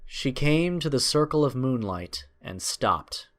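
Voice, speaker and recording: A man narrating a scripted adventure story in a measured, expressive, dramatic storytelling style. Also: medium pitch.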